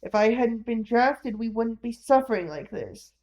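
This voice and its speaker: A woman's voice: on the high side, lively intonation, moderate pace, a little mellow. A woman voicing an embittered middle-aged man, a one-legged war veteran, who speaks in a weary, downhearted and plain way. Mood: bitter.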